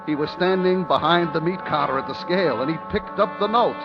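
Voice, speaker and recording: An actor playing a terrified hunted man recounting his ordeal in an intense 1950s radio thriller style, swinging between hushed and frantic. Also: a little high, brisk, close to an everyday voice. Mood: frantic panic. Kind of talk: 1950s radio thriller acting, first-person dramatic narration. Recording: dull 1950s radio recording with music.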